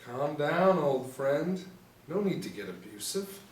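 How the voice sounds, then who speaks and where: A man's voice: fairly high pitch, very animated, unhurried. A man as a smug henchman in an echoey room. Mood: smug, threatening. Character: smug, menacing male crime henchman. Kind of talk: script table read. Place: small room indoors. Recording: roomy, distant mic, faint noise.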